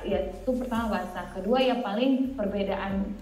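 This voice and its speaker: Wanita muda Indonesia, santai dan banyak cerita. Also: female voice, mid-range voice, moderate pace, mellow. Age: 20s. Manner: chatty, mixes English into Indonesian. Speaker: interview guest, student living abroad. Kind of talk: casual conversational interview. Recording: roomy echo, music underneath. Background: background music.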